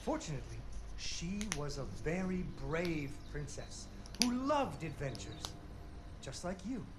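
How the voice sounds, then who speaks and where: Playful storytelling dad, high animated voice. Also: male voice, a little slow, noisy background. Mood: storybook, wry. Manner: storybook delivery, wry, addressing a child. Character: playful storytelling father putting on a puppet show. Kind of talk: theatrical fairy-tale narration in a video game. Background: music.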